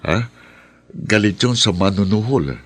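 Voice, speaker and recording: A low, animated male voice as a flustered schoolteacher. He has a Filipino accent and sounds hesitant and apologetic, in expressive radio drama style. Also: measured pace, a little hiss or hum. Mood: apologetic, uneasy.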